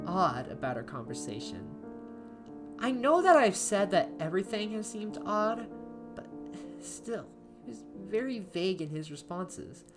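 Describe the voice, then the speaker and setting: A woman playing an anxious, isolated diarist in a horror story, reading in a halting, emotive way with music behind her. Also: sits low. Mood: hopeful, unsure. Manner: halting, emotive, with stammered repeats. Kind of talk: dramatic first-person horror story narration. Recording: background music under voice.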